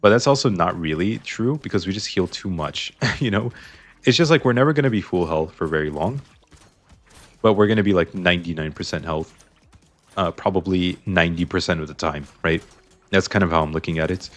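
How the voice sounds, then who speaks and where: A man's voice: low-pitched, a little fast. A man casually commenting on a video game as he plays, explaining his choices, his voice a little strained. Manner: chatty, explains choices while playing. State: slightly strained voice. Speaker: gaming YouTuber.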